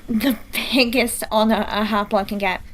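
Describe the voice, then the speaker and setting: A woman's voice: medium pitch, fairly even intonation, moderate pace, natural voice, rough recording. A woman playing a proud, competitive teenage demigod girl in an amateur musical table read. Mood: driven.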